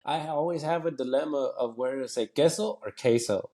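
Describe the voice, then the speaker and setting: A man's voice: mid-range voice, a little fast, a crowd in the background. An American man chatting casually as a comedian guest, slangy and sweary, telling stories with quoted asides. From United States.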